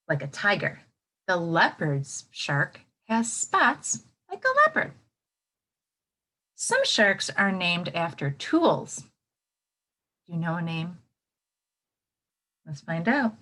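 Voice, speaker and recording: Female teacher for a children's read-aloud: very lively pitch, unhurried delivery, expressive, explains things simply as she goes. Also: slightly rough.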